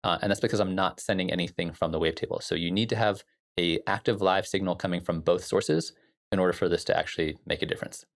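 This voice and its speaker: A man giving a casual software tutorial as a music production instructor, explaining things in an informal way. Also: sits low, fairly even intonation, fast talker, gravelly.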